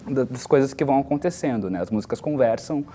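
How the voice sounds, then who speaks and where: A man giving an interview, haltingly, repeating words as he goes. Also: medium pitch, expressive, warm tone, a little hiss or hum. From Brazil. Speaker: stage actor.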